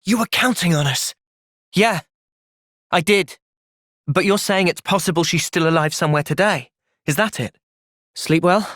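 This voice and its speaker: A man with a British accent playing a thoughtful, gentle young soldier in video game cutscenes, measured and earnest. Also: on the high side, no room sound, clean studio sound.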